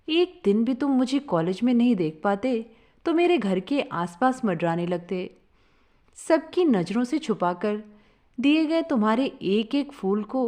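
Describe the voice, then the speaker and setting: Lady storyteller, highish voice, really animated intonation, earnest and sentimental. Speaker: story narrator. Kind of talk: expressive first-person story reading.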